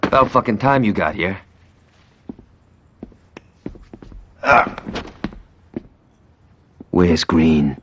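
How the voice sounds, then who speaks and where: A cocky, foul-mouthed gang enforcer from a video game, delivers sardonic lines in a fairly low voice. His pitch moves around animatedly, and there is some noise behind him. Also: animated, slow pace, fairly bright tone, exaggerated voice. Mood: impatient, brash. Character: brash, violent gang lieutenant, cocky and sarcastic. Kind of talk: video game cutscene acting.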